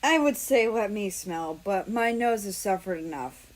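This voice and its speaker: A woman voicing a dry, fed-up man with a monotone delivery in a story reading. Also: mid-range voice, measured pace, lightly acted.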